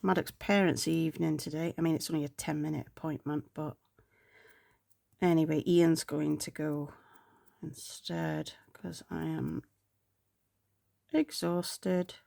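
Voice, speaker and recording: A woman's voice: low voice, steady tone, a little slow, creaky, pauses often, dark tone, dry recording, clean studio sound. A woman chatting casually while planning, congested and with a sore throat, rambling and sometimes murmuring to herself. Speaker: planner vlogger.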